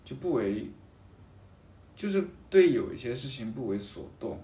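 A man chatting casually and reflectively as a guest, thinking aloud in a halting way and often repeating his phrases. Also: mid-range voice, sing-song, dark tone, recorded from a distance. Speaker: podcast guest talking about a hobby of seal carving. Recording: roomy echo, off-mic, faint background noise.

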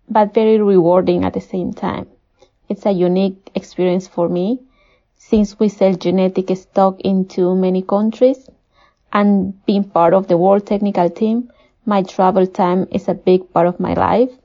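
A woman from Peru with a Spanish accent, a poultry nutritionist giving measured, formal, prepared answers as an interview guest. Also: mid-range voice, mellow. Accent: Peruvian Spanish. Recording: dull, muffled lo-fi audio.

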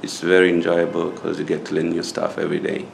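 A young man from Zambia, a master's student, answering mock speaking-test questions with frequent hesitant pauses. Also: low voice, monotone, vocal fry, slight background noise. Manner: hesitant, many filler pauses. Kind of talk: unscripted interview answers.

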